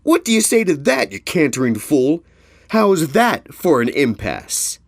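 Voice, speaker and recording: A man's voice: a little high, unhurried, no echo, voice pushed up, exaggerated voice. Man voicing a boisterous, jeering stallion. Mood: mocking glee. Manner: jeering, excitable. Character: boisterous, mocking male stallion pony worker.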